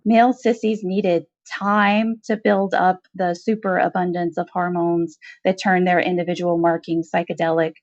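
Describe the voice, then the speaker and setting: A woman reading a short story aloud as expressive scripted narration. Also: on the low side, normal speed, few pauses, dull audio. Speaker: story reader.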